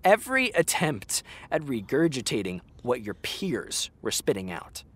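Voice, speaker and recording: A frank young man in a naturalistic audio drama. His mid-pitched voice moves around freely, and he speaks in an earnest, conversational way, like a friend who has held his tongue too long. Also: big pitch swings, measured pace, a bit bright, breathy edge, heavily acted. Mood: blunt, exasperated. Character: frank, exasperated young male actor confronting his best friend.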